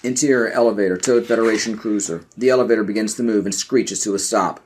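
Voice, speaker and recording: A man's voice: lowish voice, fast talker, heavy background noise. A man doing scripted narration for a podcast audio play, reading out stage directions.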